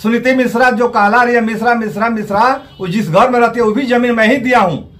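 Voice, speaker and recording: A man with a high voice and a Bihari accent gives an aggrieved interview as a doctor. He is indignant and emphatic, hammering points by repeating them. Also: a little fast, a bit bright. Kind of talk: aggrieved spoken statement to a local news reporter.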